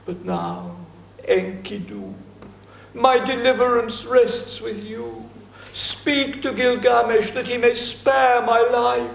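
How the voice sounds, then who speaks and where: A man plays a monstrous male forest guardian, cornered and pleading bitterly for his life in a slow, high-pitched voice. The voice rings in an echoey room. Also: fairly even intonation, creaky, slight background noise, heavily acted. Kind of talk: theatrical dramatic reading.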